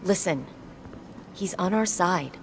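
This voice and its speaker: A woman's voice: lowish voice, animated, slow pace, background noise. An actress playing a determined, hopeful woman engineer-warrior in a game cutscene, speaking earnestly and plainly. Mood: reassuring. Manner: earnest, plain-spoken and reassuring.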